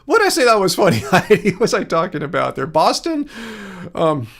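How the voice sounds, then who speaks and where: A man with a slightly raised voice and a gravelly edge chats casually on his livestream. He rambles easily through viewer comments, restarting his sentences often and chuckling along the way. He is recorded close on a studio mic at home. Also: sits high, huge pitch swings, fairly quick, fairly bright tone. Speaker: livestream host.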